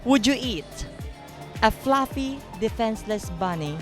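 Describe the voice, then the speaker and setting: A woman's voice: fairly high pitch, animated, a little slow. A woman interviewer asking light, teasing questions with a giggly manner. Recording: music playing behind.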